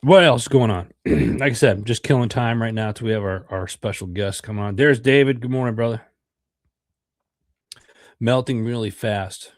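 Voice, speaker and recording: A man's voice: medium pitch, normal speed, dry recording, clean studio sound. A middle-aged American man with a congested voice, hosting a podcast livestream in a rambling chat with many fillers and repeated words. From United States. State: congested from a cold. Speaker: podcast host.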